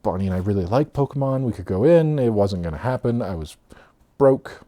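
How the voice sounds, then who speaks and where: A man in his thirties with a deep, low voice, talking casually to camera about his own past. He rambles, laughs easily and lets sentences trail off quietly. At times he drifts slightly away from his own mic. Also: lively intonation, brisk. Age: 30s. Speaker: vlogger. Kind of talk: personal storytelling vlog. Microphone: own mic, off-axis at times.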